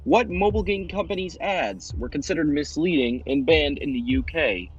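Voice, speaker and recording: Higher-voiced male quiz show host. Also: animated, normal speed, husky, muffled recording. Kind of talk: fan-made quiz show presenting. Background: background music.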